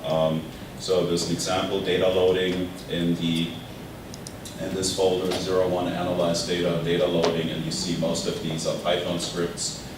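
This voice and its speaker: A man's voice: low voice, completely flat delivery, normal speed. A middle-aged man with a German accent gives an informal workshop lecture, talking conversationally with many fillers and restarts. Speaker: physics professor teaching a workshop. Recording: distant mic in an echoey room with background noise.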